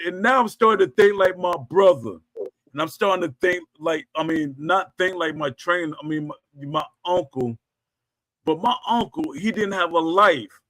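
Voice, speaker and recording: A man's voice: high-pitched, a bit bright, no room sound, poor audio. A middle-aged man hosting a podcast, telling stories in a casual, rambling way, often restarting and correcting himself, and reading out live chat comments. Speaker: podcast host. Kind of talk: casual livestream storytelling chat.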